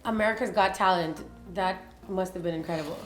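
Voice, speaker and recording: A woman hosting a casual interview, bubbly and quick to laugh. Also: fairly low pitch, faint music behind. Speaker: interviewer, host. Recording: echoey room with faint ambient noise.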